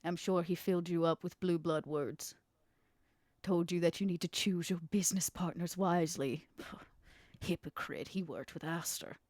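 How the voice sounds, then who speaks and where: A woman playing a guarded, shrewd vampire proposing business in a measured, dryly intimate way for a tabletop roleplay podcast. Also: lowish voice, fairly even intonation, a little mellow, no echo, clean studio sound, natural voice. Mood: wry, persuasive.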